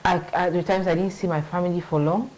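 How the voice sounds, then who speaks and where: A woman's voice: fairly low pitch, fairly even intonation, fast talker, a little mellow, slight background noise, rough recording. A middle-aged woman, telling her career story in an interview, thoughtful, with many restarts and "you know". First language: English. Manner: reflective, hesitant restarts, frequent "you know", laughs easily. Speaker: interview guest, marketing manager. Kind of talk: conversational interview.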